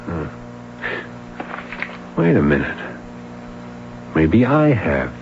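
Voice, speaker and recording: An actor playing a patient, probing police sergeant who speaks laconically, in a 1970s radio drama. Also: male voice, sits low, very animated, drawn-out words, gravelly, noisy background, exaggerated voice. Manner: laconic, probing, mumbled fillers. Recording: lo-fi old radio broadcast.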